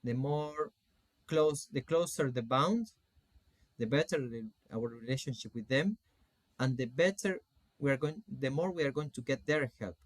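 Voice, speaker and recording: A man in his forties from Argentina with an Argentine Spanish accent gives an informal online lecture as a coach, phrasing things in a halting, deliberate way. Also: medium pitch, no room sound. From Salta, Argentina. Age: 40s. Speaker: spiritual coach teaching an online course.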